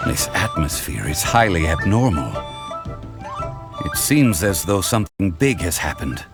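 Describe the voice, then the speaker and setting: A man voicing a calm, wise male consultant who speaks in a measured, formal way, in a game scene. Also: deep voice, full character voice. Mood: grave. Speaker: consultant to a funeral parlor. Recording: game audio with music behind.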